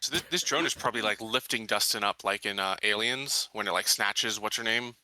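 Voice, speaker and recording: Very bright, forceful low-voiced man. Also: brisk, no echo, poor audio. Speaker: player in a tabletop roleplaying game. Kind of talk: casual game chat, commenting on the scene.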